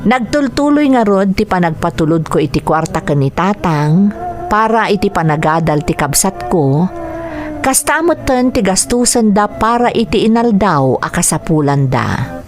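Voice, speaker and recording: A woman's voice: medium pitch, sing-song, normal speed, close to an everyday voice. A woman with an Ilocano accent playing a homesick, family-minded young overseas worker in a radio drama. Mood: earnest.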